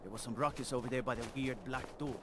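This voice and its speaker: A man's voice: medium pitch, fast talker, background noise, natural voice. A man playing a gossipy suspicious guard. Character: wary male town guard, gossipy and suspicious. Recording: game audio with clear ambience behind.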